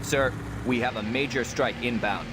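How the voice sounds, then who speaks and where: An actor playing a dutiful junior navy officer giving reports in a war video game. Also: male voice, mid-range voice, normal speed, very noisy, natural voice. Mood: alert. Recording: loud music and engines behind.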